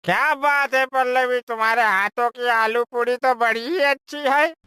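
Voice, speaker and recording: A woman voicing admiring village customers in a cartoon story, calling out praise loudly. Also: on the high side, unhurried, clean tone, dry recording, studio quality.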